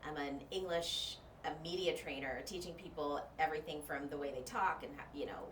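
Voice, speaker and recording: A woman's voice: low voice, fairly quick. A woman from Thailand, a journalist and media trainer, chatting casually as a guest in an interview and talking with lots of fillers. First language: Thai. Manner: animated, chatty, many fillers. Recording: roomy, distant mic, noisy.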